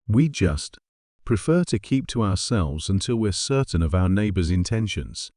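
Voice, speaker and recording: A man's voice: deep voice, sing-song, normal speed, a little mellow, no echo, studio quality. A man voicing a confident, dryly amused female warship captain, polite and understated, in a story reading.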